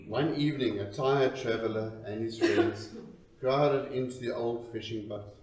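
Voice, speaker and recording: A man reciting a storytelling poem in a dramatic, expressive and deliberate way, pausing between short phrases. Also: mid-range voice. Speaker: reader of a poem. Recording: roomy, distant, dull lo-fi sound.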